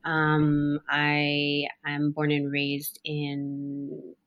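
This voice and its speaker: Woman, low voice, thirties, interview guest, reflective, halting, casual. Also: completely flat delivery, highs cut off. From Montreal, Canada. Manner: reflective, many ums and self-corrections. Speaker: podcast guest, human design guide.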